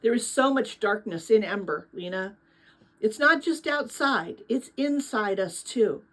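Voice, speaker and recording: A woman's voice: mid-range voice, lively intonation, unhurried, a bit bright. A woman voicing a kindly, thoughtful adult woman in a storybook, earnest and reflective, with sadness and hope. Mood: sad, solemn.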